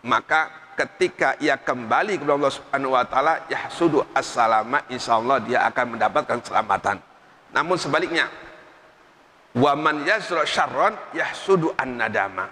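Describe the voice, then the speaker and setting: A man's voice: a little high, pushed and bright. A man preaching a religious sermon with emphasis, repeating phrases and quoting Arabic sayings. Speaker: Islamic preacher. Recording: long reverb in a hall.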